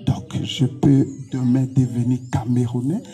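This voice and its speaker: A man's voice: medium pitch, flat delivery, measured pace, mellow, soft background music. A man with a Congolese accent, a pastor answering interview questions in short, emphatic, repeated declarations.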